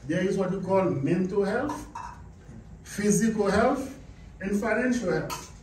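A man's voice: high voice, measured pace, darkish tone. Haitian-born male seminar speaker, emphatic, quizzes the crowd; faint room hum behind. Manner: emphatic, asks the audience questions. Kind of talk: motivational business presentation. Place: carpeted indoor meeting room. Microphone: far camera, no visible mic. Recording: echoey room, camera far off.

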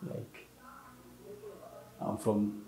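A young adult man, haltingly giving a personal account in an interview. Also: dark tone. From South Sudan. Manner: halting, repeats phrases. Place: indoor painting studio room. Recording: echoey room.

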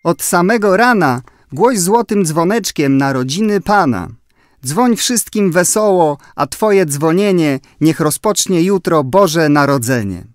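A man's voice: fairly high pitch, lively intonation, a little slow, no room sound, studio quality. A man playing a cheerful leader angel in a children's storybook audio play, declaiming festive rhymes. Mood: festive, solemn. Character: cheerful encouraging leader angel giving solemn festive orders.

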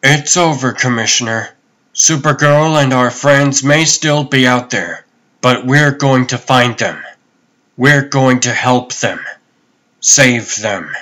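Man acting a slow, solemn, noble superhero. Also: medium pitch, pushed and bright. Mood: resolute. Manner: solemn, measured, earnest. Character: noble, earnest adult male caped superhero. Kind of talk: comic dub voice acting.

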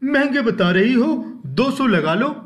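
A man's voice: very high-pitched, animated, a little slow. A man voicing village fathers and customers in a cartoon moral story. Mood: haggling.

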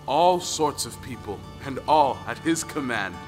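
A man narrates a folktale audio drama in a mid-pitched voice. Long reverb surrounds him, with music behind. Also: animated, measured pace. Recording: long reverberant hall sound.

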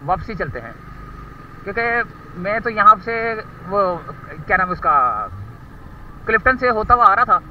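A man with a Karachi Urdu accent talks in a high voice while riding a motorcycle through muddy streets, rambling and stopping to hunt for words. His voice comes from a helmet camera and sounds distant and dull, with faint traffic underneath. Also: animated, unhurried, long pauses. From Pakistan. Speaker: motorcycle vlogger. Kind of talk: casual ride-along commentary.

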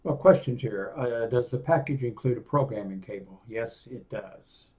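A man in his sixties giving a casual, unscripted product review in a rambling, halting way, often reading aloud from a screen. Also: medium pitch, warm tone. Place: small indoor home office room.

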